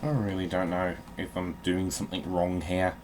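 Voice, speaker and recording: A man's voice: low voice, level tone, moderate pace, background noise. A man giving casual, joking video game commentary in an irreverent, sweary manner. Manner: irreverent, sweary, breaks into playful put-on readings and song. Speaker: host of a gaming video.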